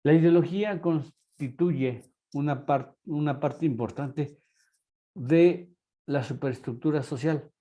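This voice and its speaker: A man's voice: fairly high pitch, slow, warm tone. A man with a Mexican accent, lecturing a class and asking rhetorical questions. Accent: Mexican Spanish. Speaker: teacher.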